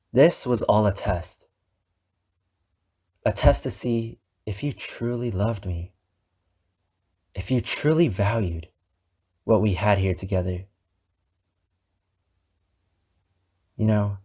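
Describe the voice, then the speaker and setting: A man playing an obsessive, wounded, possessive captor in an ASMR roleplay, speaking deliberately with dramatic pauses. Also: mid-range voice, a little mellow, husky. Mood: hurt, accusing. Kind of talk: ASMR roleplay monologue addressed to the listener.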